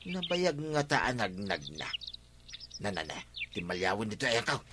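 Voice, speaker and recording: A man's voice: on the low side, very animated, normal speed, noisy background. A man playing a grumbling village woodseller in a comedy radio drama, exclaiming and complaining with an Ilocano accent. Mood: puzzled, wary. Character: grumbling working village man who sells firewood.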